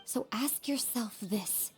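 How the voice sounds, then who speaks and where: Casting: war-weary younger woman, solemn and measured, sorrowful game drama delivery, clear music underneath. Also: fairly high pitch, full character voice. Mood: grave, bitter. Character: grave, sorrowful young sister hardened by a long war. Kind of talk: dramatic video game voice acting.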